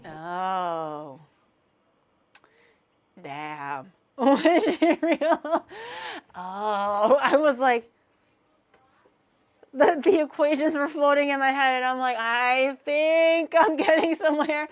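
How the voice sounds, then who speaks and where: A woman's voice: high voice, lively intonation, slow, long pauses. A woman in her twenties streaming, chatting casually while reacting to clips, rambling, self-mocking and laughing often. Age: 20s.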